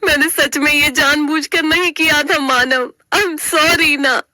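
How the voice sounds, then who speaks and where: A woman's voice: high voice, fairly even intonation, slow pace, bright, forceful tone, no echo, exaggerated voice. A woman playing a young, earnest daughter-in-law in a family story, pleading and emotional. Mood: tearful pleading.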